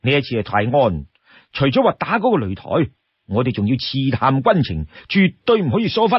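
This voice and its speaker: Man playing husky, stern outlaw companion. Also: medium pitch, sing-song, fairly quick, no echo. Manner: firm, admonishing, laying down rules. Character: stern, exasperated, shrewd younger male outlaw companion. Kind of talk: Cantonese storytelling character voice. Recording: dull, close dry studio sound.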